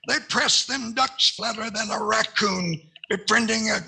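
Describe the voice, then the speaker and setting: An older man acting a blustering, folksy Southern senator in 1940s radio comedy style, raspy and prone to repeating himself. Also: sits high, measured pace, bright, forceful tone, full character voice. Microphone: headset on a video call.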